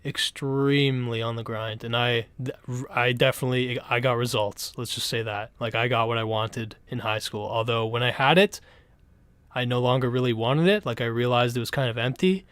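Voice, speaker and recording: A man's voice: mid-range voice, fairly even intonation, moderate pace, a bit bright, clear, resonant tone, no echo. A young Canadian man streaming from home, chatting casually and rambling with lots of swearing and restarts. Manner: rambling, profane, laid-back, full of restarts. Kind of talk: casual live-stream chat.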